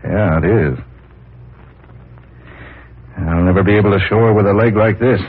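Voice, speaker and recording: Low-voiced, stern cattle rancher. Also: male voice, normal speed. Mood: troubled suspicion. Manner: firm, plain-spoken, folksy. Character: stern, loyal male cattle rancher, troubled boss. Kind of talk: 1940s radio drama acting. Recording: muffled, husky old radio transcription.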